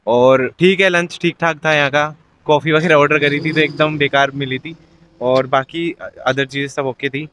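A man's voice: a little high, normal speed, slight background noise. A young man in his twenties chatting casually as a travel vlogger, filming himself at arm's length outdoors. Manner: chatty, relaxed, says "yaar" often. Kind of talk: casual travel vlog to camera. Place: outdoors on mountain roads and hotel grounds. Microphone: phone or camera held at arm's length.

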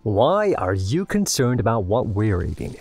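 Audio drama explorer male actor: practical, unhurried, lowish husky voice with lively pitch. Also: faint music behind, exaggerated voice. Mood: matter-of-fact. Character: Nikan, calm practical male explorer, reassuring to his captive.

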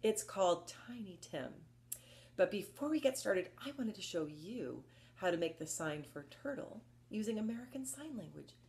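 A woman in her fifties presenting story time for young children, reading and explaining in an expressive, encouraging manner. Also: mid-range voice, normal speed. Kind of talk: children's read-aloud and instruction. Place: small furnished room at home, indoors.

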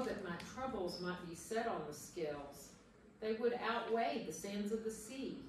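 A woman's voice: medium pitch, lightly acted. A woman playing an anguished, despairing sufferer who cries out against God in a solemn dramatic scripture reading. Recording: echoey room from far off, faint noise.

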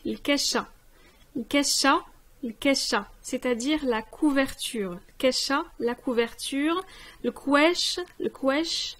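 A woman with a high, light voice teaches vocabulary. She says each word slowly and clearly, repeats it several times, and then gives its meaning. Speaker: language teacher. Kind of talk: vocabulary lesson with repetition.

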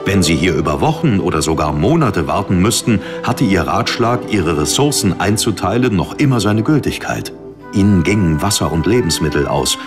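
A man's voice: sits low, very animated, moderate pace. A man reading scripted dramatic narration as an audiobook narrator. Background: music under the narration.

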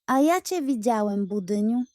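A woman's voice: a little high, animated, normal speed, dark tone, no room sound, studio quality, close to an everyday voice. A woman voicing a blunt, accusing male gander in a children's bedtime audio story. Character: male gander, sleepy latecomer, blunt tattletale.

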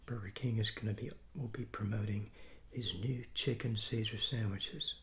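A man in his sixties, hoarse from a cold, reviewing fast food in a soft, half-whispered ASMR style with many restarts, seated at a table indoors. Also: fairly low pitch, steady tone, background noise. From Southeastern United States. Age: 60s. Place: small room at a table, indoors. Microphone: fixed camera mic at close range.

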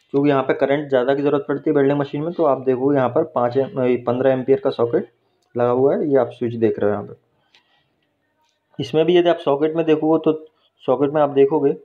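A man teaching welding in a workshop, explaining step by step and often checking in with his viewers. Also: medium pitch. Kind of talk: hands-on technical explanation. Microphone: phone held while filming. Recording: dull lo-fi phone recording.

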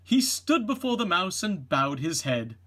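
A middle-aged man narrates a children's storybook. His voice is husky and animated, with expressive rises and falls. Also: sits high, a little slow. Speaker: read-aloud narrator.

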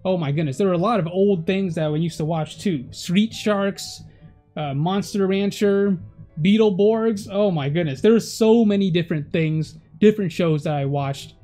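A man's voice: sits high, normal speed, warm tone, faint music behind. A man in his thirties, Vietnamese his first language, telling stories about his childhood in an easygoing, chatty vlog style with small self-corrections. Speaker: vlogger. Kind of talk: personal vlog storytelling. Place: indoor room at home. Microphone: camera facing him, head and shoulders.

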